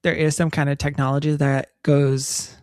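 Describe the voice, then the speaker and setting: A woman's voice: sits low, flat delivery, moderate pace, a little mellow, no echo, clean studio sound. A woman co-hosting a podcast, chatting casually and explaining things with examples. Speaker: podcast co-host. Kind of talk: casual chat. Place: recorded in Texas.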